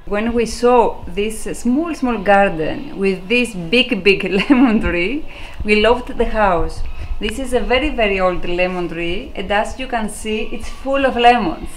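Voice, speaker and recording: A woman's voice: medium pitch, poor audio. A woman, explaining things as a tour guide and searching for words in English. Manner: halting, searching for words in non-native English. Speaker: museum founder giving a tour. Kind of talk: interview answers, explaining. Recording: clear ambient background noise.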